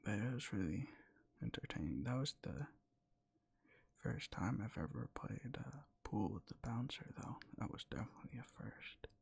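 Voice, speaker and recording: A young man whispering in a soft, rambling way close to the mic, sleepy and sometimes losing his words, for boyfriend roleplay ASMR. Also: mid-range voice, animated, normal speed, vocal fry, slightly rough. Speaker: ASMR creator.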